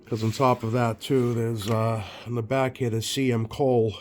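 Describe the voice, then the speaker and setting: A man's voice: mid-range voice, little pitch movement, normal speed, darkish tone, slight background noise. A man giving casual, off-the-cuff narration as a repair technician, thinking aloud in a hesitant way. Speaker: radio repair technician hosting a bench video.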